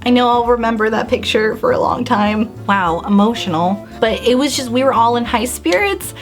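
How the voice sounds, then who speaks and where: A woman's voice: fairly high pitch, normal speed. Young woman vlogging, bubbly storytime, lots of "like", faint music in the background. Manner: bubbly, animated storytelling with lots of "like" and acted-out reactions. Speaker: beauty and lifestyle vlogger. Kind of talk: casual storytime vlog.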